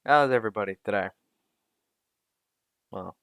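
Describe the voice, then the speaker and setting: A man's voice: on the low side, normal speed, gravelly, a bit bright, dry recording, clean studio sound. A teenage boy from South Australia, chatting casually with viewers on a live stream, rambling and making fun of himself. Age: teens. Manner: rambling and self-deprecating, with many restarts. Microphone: new USB mic.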